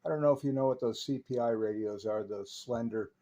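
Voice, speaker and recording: Mellow American man, hands-on and unhurried. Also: mid-range voice. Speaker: radio repair technician and presenter. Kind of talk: casual hands-on repair narration and CB radio chat.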